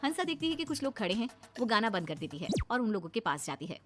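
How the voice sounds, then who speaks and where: A woman narrating an animated moral story in an expressive storytelling manner. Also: on the high side, quick pace, soft background music.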